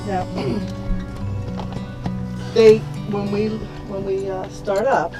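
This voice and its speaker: A woman's voice: mid-range voice, little pitch movement, slow, low-quality recording. A woman talking casually and practically, giving passengers instructions. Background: loud music.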